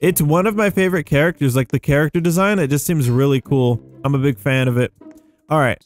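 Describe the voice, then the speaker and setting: High-voiced young man doing casual game commentary. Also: brisk, no echo. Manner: easily amazed, rambling, thinking aloud. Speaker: gaming video host.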